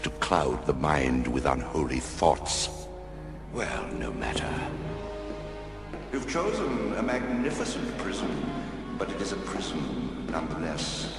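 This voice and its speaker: A man plays a cartoon villain, an older minister with a very deep voice. He talks slowly and pompously, with cold menace and a gravelly edge, over loud music. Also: very animated, full character voice. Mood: menacing. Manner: slow, sinister, pompous. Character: menacing, sanctimonious older male minister, coldly patient. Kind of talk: amateur cartoon fandub.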